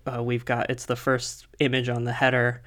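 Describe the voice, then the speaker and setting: A man's voice: mid-range voice, completely flat delivery, normal speed. Chatty man with a slight cold. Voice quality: soft, slightly husky voice. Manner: chatty, laughs often, many fillers. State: coming down with a cold. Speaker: podcast co-host. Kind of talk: casual podcast chat.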